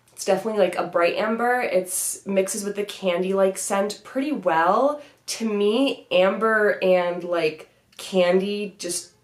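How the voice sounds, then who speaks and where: Casting: young woman, stuffy from a cold, upbeat chatty vlogger, filler-heavy, recorded in a slightly echoey room. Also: medium pitch. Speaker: beauty vlogger. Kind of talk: casual product review. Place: bedroom vanity room, indoors.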